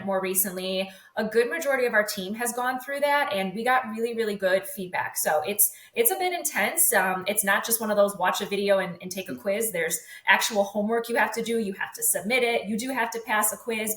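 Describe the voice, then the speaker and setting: A woman's voice: medium pitch, fairly bright tone. Woman in a roomy, echoey space. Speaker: podcast guest, communications agency executive. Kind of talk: casual conversational interview. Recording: roomy echo.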